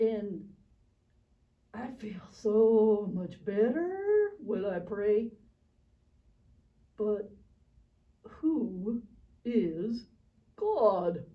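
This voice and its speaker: A woman voicing a naive, curious, excitable little frog in a children's church puppet show. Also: fairly high pitch, distant mic, phone-quality sound. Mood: curious, shy. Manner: childlike, wide-eyed, exclaiming.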